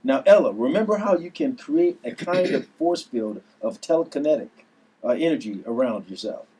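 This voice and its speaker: A man's voice: mid-range voice, lively intonation, moderate pace, dark tone, husky, low-quality recording. A man at a table read playing an earnest uncle, a recovering addict who now trains his nieces to fight. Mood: instructing.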